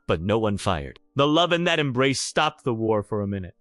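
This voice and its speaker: A man's voice: on the low side, big pitch swings, normal speed, fairly bright tone, no echo, clean studio sound, faint music behind. A man narrating a scripted romantic drama in a theatrical, emphatic storytelling style.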